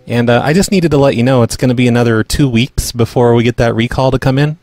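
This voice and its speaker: A man talks casually as the host of a prank call show. His voice sits at an average pitch and is recorded dry, with no room sound. He sounds matter-of-fact throughout. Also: fast, darkish tone, dry recording, soft background music. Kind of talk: casual podcast talk and prank calling.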